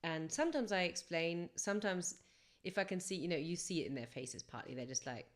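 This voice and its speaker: A woman's voice: on the low side, lively intonation, brisk. A young woman, a singer-songwriter guest, chatting reflectively and rambling a little on a podcast interview. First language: English first language. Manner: chatty, reflective, rambling with fillers and self-corrections. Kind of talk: casual podcast interview storytelling.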